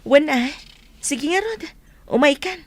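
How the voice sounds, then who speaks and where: Sympathetic co-worker, woman acting, radio drama: higher pitch, chatty, concerned, conversational. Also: animated, slow. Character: sympathetic, gossiping female co-worker.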